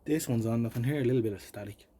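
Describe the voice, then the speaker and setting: An Irish man giving casual, unhurried hands-on commentary, muttering and thinking aloud as he tinkers, recorded on a phone. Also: medium pitch. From Ireland. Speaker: vintage electronics hobbyist presenting. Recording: clearly noisy phone recording.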